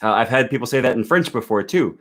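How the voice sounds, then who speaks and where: A man's voice: mid-range voice, lively intonation, fast, fairly bright tone. An American man hosting a language-learning live stream, thinking aloud with frequent fillers and restarts as he reads viewer comments. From United States.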